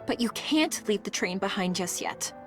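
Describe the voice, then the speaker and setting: An actress voicing an earnest, insistent young train crew member in an anime-style game, pleading and emphatic. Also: female voice, medium pitch, lively intonation, moderate pace, faint music behind, close to an everyday voice. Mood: earnest insistence.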